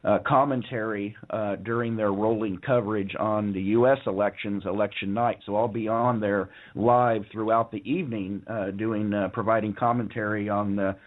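A hoarse man who is a guest on a radio show, talking casually and rambling with many fillers and restarts. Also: on the low side, fairly even intonation, normal speed, few pauses. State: hoarse from a lung infection. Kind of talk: casual phone-in interview talk. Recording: narrow phone-line sound.

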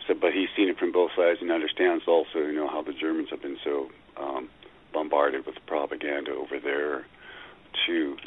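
A man's voice: extremely low pitch, flat delivery, moderate pace, slightly rough. A man hosting a talk radio show, reading listener letters aloud in a plain conversational way. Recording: tinny phone-like radio audio.

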